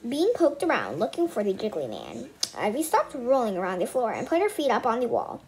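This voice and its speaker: A woman's voice: a little high, wildly swooping pitch, brisk, a little mellow, poor audio. A young girl reading a children's book aloud with casual asides, often stumbling and repeating phrases. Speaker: read-aloud host.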